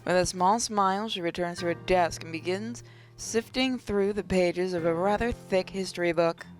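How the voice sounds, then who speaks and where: A woman's voice: on the low side, normal speed, soft background music. A woman reading a visual novel's narration aloud, now and then stumbling and rereading a phrase. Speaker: gaming channel host.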